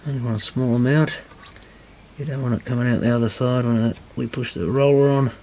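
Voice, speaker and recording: A man's voice: medium pitch, steady tone, a little fast, mellow, a little hiss or hum. A man giving casual hands-on repair commentary, muttering to himself as he works. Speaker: repair video presenter.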